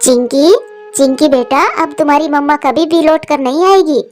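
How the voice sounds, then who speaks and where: Spiteful crow, acted by a woman, female, high pitch, sneering, taunting, gossipy, cartoon, faint music. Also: moderate pace, a little mellow, natural voice. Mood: cruel, taunting. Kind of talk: Hindi cartoon story acting.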